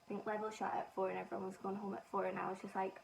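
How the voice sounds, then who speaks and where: A woman's voice: medium pitch, level tone, rapid-fire, creaky, a little hiss or hum. Chatty young Scottish woman, slightly distant mic. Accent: Scottish softened by northern English. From Scotland. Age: young adult. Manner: chatty, rambling and self-mocking. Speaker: beauty vlogger. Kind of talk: casual chat while doing makeup.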